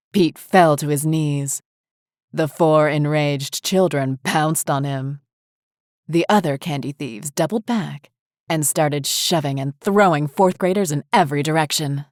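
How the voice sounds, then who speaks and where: A woman narrating a scripted horror story in a measured, deliberate way. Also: low voice, darkish tone, dry recording, studio quality.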